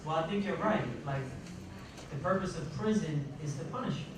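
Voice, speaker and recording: A man speaking unscripted as a panelist, emphatic, pausing mid-sentence and posing rhetorical questions to make his points. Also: fairly high pitch, crowd noise. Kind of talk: panel discussion remarks, unscripted. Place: large indoor room at a college panel event. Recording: echoey, noisy room, distant microphone.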